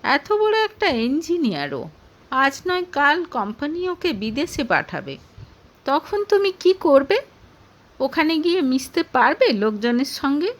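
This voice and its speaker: Haughty, high-pitched scornful mother acted by a woman. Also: very animated, measured pace. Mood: condescending. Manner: interrogating, snapping, contemptuous. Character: haughty, scornful middle-aged mother, snobbish prospective mother-in-law.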